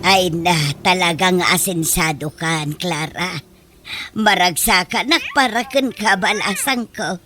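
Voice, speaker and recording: A woman's voice: on the low side, takes it slowly, bright, forceful tone. A woman playing a fond, encouraging older mother in a radio drama. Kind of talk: comedy radio drama acting. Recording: noticeable background noise.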